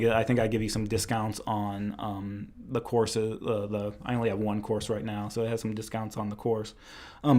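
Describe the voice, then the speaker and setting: A man's voice: medium pitch, darkish tone. A young man teaching Chinese medicine in a casual live-stream review, rambling a bit with restarts, his voice tired after two hours of lecturing.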